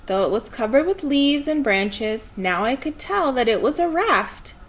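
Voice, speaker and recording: A woman reading a children's story aloud in an expressive, measured storytelling manner. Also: high-pitched. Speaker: storybook reader.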